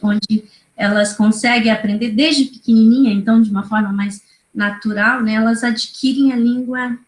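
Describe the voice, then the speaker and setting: A woman's voice: a little high, steady tone, normal speed. A woman in her thirties from Brazil, a native Kaingang speaker, talking as a guest educator on a video call, hesitant with many fillers and tag questions. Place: small indoor room. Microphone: laptop video call. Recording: roomy video call audio.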